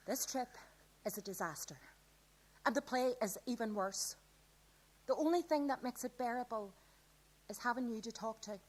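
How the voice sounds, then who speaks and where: A woman's voice: fairly high pitch, moderate pace, pauses often, close to an everyday voice. A woman acting a touchy but affectionate teenage girl in a live radio play, earnest and naturalistic. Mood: apologetic.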